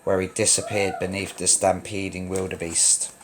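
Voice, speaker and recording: A man reading a children's story aloud as narrator, in a dramatic, expressive read-along style. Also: sits low, moderate pace, noisy background.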